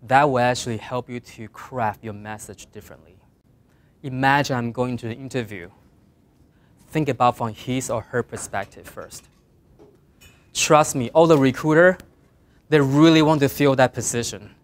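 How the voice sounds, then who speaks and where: A middle-aged man with a Mandarin Chinese accent, speaking English as a second language, giving earnest career advice to design students, rising on key points and trailing off quietly at the ends of phrases. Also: medium pitch, normal speed, long pauses. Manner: earnest, plain advice with emphatic rises and trailing quiet endings. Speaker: guest speaker.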